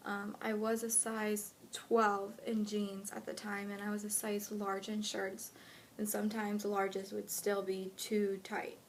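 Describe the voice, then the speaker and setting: A woman's voice: mid-range voice, unhurried. A woman of twenty-one with an American accent, chatting casually to followers as a fitness vlogger. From United States, lived in Honduras when younger. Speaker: fitness blogger introducing self to followers. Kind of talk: casual vlog chat answering questions. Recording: clear steady background noise.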